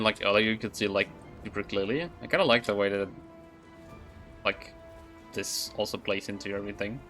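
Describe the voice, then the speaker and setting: Guy streamer chatting casually over a game, lowish voice, faint music behind. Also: pushed and bright. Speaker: video game livestreamer. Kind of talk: casual livestream commentary.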